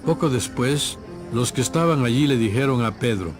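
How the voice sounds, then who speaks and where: A man's voice: mid-range voice, normal speed. A man reading scripted, dramatized Bible narration. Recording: music bed behind narration.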